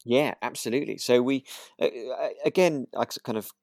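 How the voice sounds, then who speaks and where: Creaky-voiced man, hesitant and chatty. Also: mid-range voice, fairly quick, darkish tone, no echo, clean studio sound. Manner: hesitant, agreeable, rambling. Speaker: podcast co-host. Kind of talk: relaxed casual chat.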